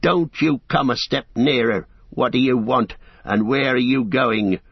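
A man voicing a stout older hobbit farmer with a gruff, rustic manner. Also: medium pitch, unhurried, dry recording, lo-fi sound, voice pushed up.

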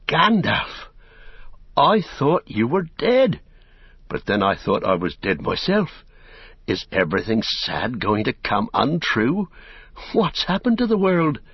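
A man's voice: on the low side, sing-song, a little slow, slightly rough, dry recording, muffled recording, heavily acted. A man voicing a humble young hobbit gardener in a storybook, plain-spoken, full of exclamation and wonder. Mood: astonished.

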